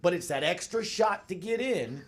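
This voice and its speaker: A man's voice: high voice, lively intonation, moderate pace. A man in a sports roundtable discussion, making emphatic points with rhetorical questions. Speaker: sports radio commentator.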